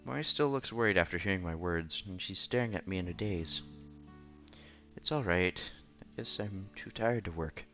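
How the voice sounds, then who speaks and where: Casting: male game streamer, lowish voice, reading story text aloud with playful asides, slightly dull recording, faint music. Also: moderate pace. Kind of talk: read-aloud game narration with casual asides. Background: quiet game music.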